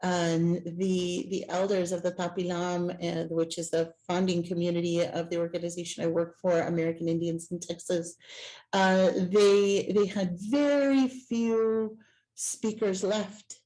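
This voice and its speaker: A woman from El Salvador, Spanish her first language, speaks on a video call in a measured, earnest way. Her voice is lowish and mellow. She answers as a panelist for a Native community organization. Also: level tone, rough recording. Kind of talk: virtual panel remarks.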